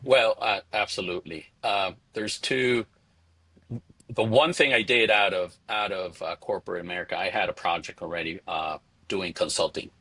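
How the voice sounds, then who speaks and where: A middle-aged man from Venezuela answering interview questions conversationally, in a halting way, repeating words as he thinks. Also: low-pitched, pushed and bright, breathy edge, no room sound. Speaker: interview guest, spirits entrepreneur.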